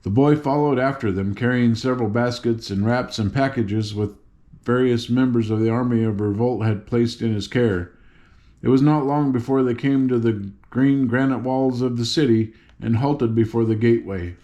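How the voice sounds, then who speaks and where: A man's voice: medium pitch, moderate pace, dark tone. An older man narrating a children's story as a read-aloud, with informal little asides.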